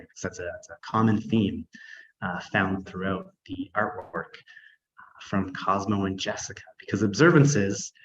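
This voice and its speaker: A man hosting a live online artist talk, pausing often with filler words. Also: fairly low pitch, lo-fi sound. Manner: hesitant, frequent filler words. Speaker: arts council host.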